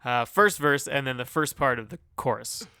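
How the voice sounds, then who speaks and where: A man's voice: medium pitch, expressive, moderate pace, vocal fry, pushed and bright, clear, resonant tone, no room sound, clean studio sound. A man co-hosting a casual music review podcast, speaking in a hesitant, loosely phrased way as he gives opinions. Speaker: podcast co-host.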